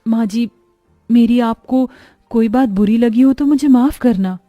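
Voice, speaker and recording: A woman's voice: fairly high pitch, a little slow, dry recording, lo-fi sound, soft background music, lightly acted. A woman voicing a dutiful, caring young daughter-in-law who speaks respectfully and pleadingly in a family story. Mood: polite.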